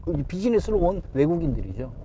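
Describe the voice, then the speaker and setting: A man's voice: a little high, sing-song, quick pace, background noise. A man in his fifties, a taxi driver, answering interview questions in an easygoing way and chuckling often. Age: 50s.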